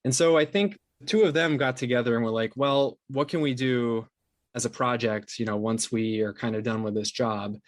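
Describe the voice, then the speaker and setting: A man's voice: medium pitch, moderate pace, no room sound. Man giving explanatory interview answers, dry sound. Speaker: interview guest, podcast co-founder and sound designer.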